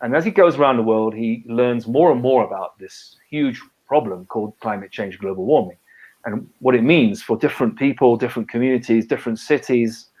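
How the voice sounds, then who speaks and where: A man's voice: medium pitch, a little fast, darkish tone, poor audio. A man, an author and conservation biologist from a British and French background, talks casually on a live video call, with many fillers and restarts. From half British, half French.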